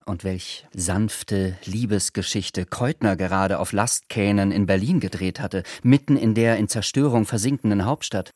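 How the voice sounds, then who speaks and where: A man's voice: on the low side, normal speed, hardly any pauses, a little mellow, no room sound, studio quality. A man narrating a literary radio drama in a scripted storytelling style. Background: faint music.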